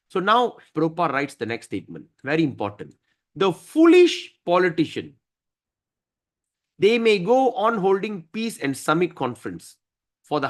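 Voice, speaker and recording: A man teaching a scripture study class, emphatic and often asking his listeners "right?". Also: fairly high pitch.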